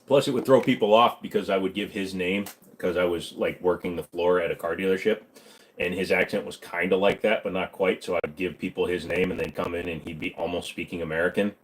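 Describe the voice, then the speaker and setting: A man's voice: deep voice, steady tone, brisk, rough recording. A man hosting a casual livestream, answering viewer questions with crude, rambling jokes.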